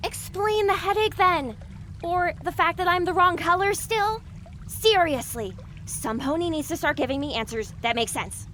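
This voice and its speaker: A woman's voice: very high voice, animated, normal speed, a bit bright, slight background noise. A woman playing an anxious, sarcastic young female pony muttering exasperated asides in an audio drama.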